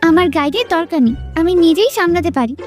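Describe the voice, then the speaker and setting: Woman acting huffy, very high-pitched young city girl. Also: expressive, soft background music. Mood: huffy, annoyed. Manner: huffy, petulant, quick to retort. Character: feisty, easily irritated young city girl on village holiday. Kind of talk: romantic audio story acting.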